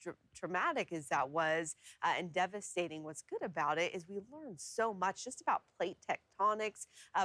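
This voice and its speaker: A woman's voice: fairly low pitch, a bit bright, dry recording. Conversational female TV weather presenter, dry sound. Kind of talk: unscripted weather talk and newscast banter.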